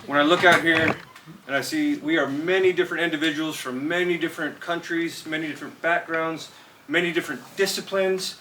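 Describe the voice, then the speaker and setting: A man's voice: fairly high pitch, steady tone, a little fast, bright, forceful tone. A man from California, presenting a student project to a live audience and talking straight to them. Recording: distant mic with light noise in a room.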